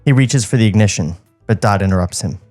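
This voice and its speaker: A man's voice: lowish voice, fast talker, mellow, soft background music. A man co-hosting a podcast, casually reading screenplay directions and chatting in a comedic table read.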